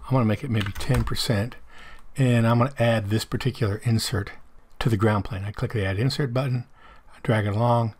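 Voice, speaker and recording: A man with a hoarse voice explaining a software tutorial step by step over a screen recording. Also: medium pitch, steady tone, a little fast. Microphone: desktop mic, voice-over of screen capture.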